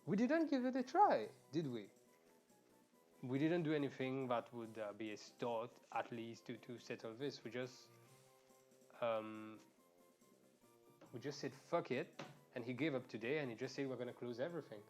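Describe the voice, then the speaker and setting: A man's voice: medium pitch, expressive, moderate pace, long pauses, darkish tone, soft background music. A young man chatting casually to viewers while live-streaming a game, rambling and swearing freely, recorded through an earphone mic at home. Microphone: earphones mic, quiet and low in level.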